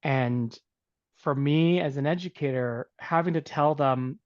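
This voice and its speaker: A man's voice: fairly high pitch, a little slow, dry recording. Man, music teacher, reflective storytelling, filler words, muffled dry video-call sound. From Canada. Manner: reflective, many fillers and restarts. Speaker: music educator guest.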